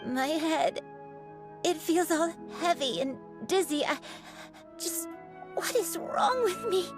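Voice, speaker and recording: A woman voicing a gentle, frail and forgetful grandmother in a video game, speaking softly and hesitantly. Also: very high-pitched, lively intonation, exaggerated voice. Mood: distressed. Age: older. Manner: soft, slow, hesitant. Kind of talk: video game cutscene dialogue. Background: game music.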